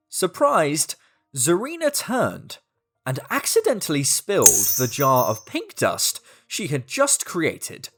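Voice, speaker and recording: A woman narrates a children's story in a highly animated voice. She takes it slowly, with deliberate phrasing and dramatic pauses, over faint music.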